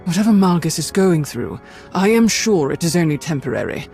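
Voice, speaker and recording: An actor playing a formal, brisk male Imperial officer in a game cutscene. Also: a little high, big pitch swings, gravelly, a little mellow, faint music behind. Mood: cold.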